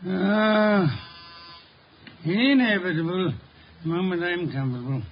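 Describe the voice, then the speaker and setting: An actor playing a brilliant, imperious detective in 1950s radio drama style, ponderous, precise and dryly sardonic. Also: male voice, high voice, slow. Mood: irritable. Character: brilliant, eccentric, unflappable older male detective, imperious. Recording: dull, noisy 1950 radio recording.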